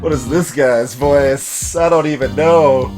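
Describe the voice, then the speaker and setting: A man's voice: mid-range voice, unhurried. A man hosting a let's play, giving casual commentary on a game as he plays. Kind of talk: casual gameplay commentary with voiced dialogue. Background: video game music.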